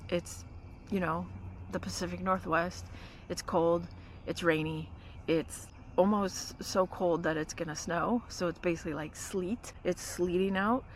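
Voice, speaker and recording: A woman's voice: fairly low pitch, fairly even intonation, a little slow. A woman vlogger telling a story in a casual, chatty way, full of "like" and "you know". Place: forest campsite by a car. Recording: outdoor noise with vehicle hum.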